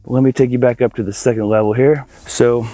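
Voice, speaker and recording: A man's voice: medium pitch, steady tone, quick pace, warm tone, faint music behind. A man giving a casual, enthusiastic video walkthrough outdoors, talking informally to viewers as an installer showing off a project. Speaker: audio-video installer presenting a project tour. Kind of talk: casual walkthrough vlog to camera. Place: outdoors in a backyard by a pool.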